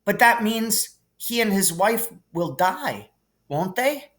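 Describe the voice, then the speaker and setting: A man's voice: high-pitched, animated, takes it slowly, fairly bright tone, voice pushed up, heavily acted. A man voicing an anxious, earnest young schoolboy in a storybook reading, with an urgent, questioning manner. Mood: surprised, worried. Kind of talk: audiobook character reading.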